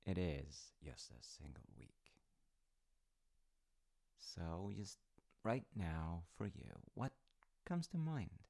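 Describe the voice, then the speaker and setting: Male actor as caring dad, middle-aged, deep voice, unhurried pauses, soft reassuring delivery for intimate audio roleplay. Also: huge pitch swings, full character voice. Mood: gently probing. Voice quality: gravelly, low. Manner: hesitant, reassuring, thoughtful with pauses. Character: gentle, attentive, slightly awkward middle-aged dad having tea. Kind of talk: soft-spoken wholesome audio roleplay addressed to listener.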